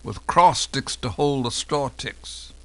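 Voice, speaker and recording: A man's voice: medium pitch, lively intonation, slow pace. A man playing an elderly formerly enslaved American man recalling his childhood in a folksy, dialect-heavy dramatic reenactment. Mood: plain reminiscing. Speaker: professor doing a dramatic reading. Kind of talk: dramatic first-person oral history reenactment.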